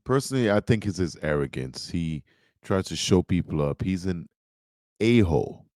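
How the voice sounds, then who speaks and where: A man with a very deep, dark voice offers casual, opinionated commentary among others. The recording is close and dry, with no room sound. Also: animated, moderate pace, dry recording.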